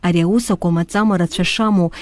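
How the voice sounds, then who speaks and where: A woman's voice: fairly low pitch, steady tone, quick pace, a little mellow. A woman reporter delivering scripted news narration. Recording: music behind the voice. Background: music.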